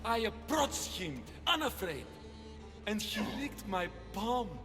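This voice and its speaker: Sorrowful gentle blind man, high voice, emotional, slow delivery, game drama, music behind. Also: very animated, heavily acted. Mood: pleading, distressed. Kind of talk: video game cutscene acting. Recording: long reverberant echo.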